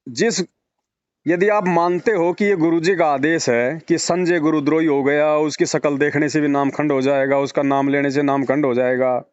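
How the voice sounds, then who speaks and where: A man's voice: fairly high pitch, fairly even intonation, normal speed, clean tone. A man arguing persuasively on a phone call, firing rhetorical questions and repeating words for emphasis. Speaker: religious devotee speaking to a follower. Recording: dull lo-fi phone call recording.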